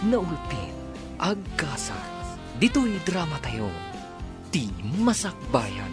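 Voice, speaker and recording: A male radio drama announcer with an Ilocano Filipino accent. He reads in a slow, scripted dramatic style with big swings in pitch. Music plays behind him. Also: huge pitch swings.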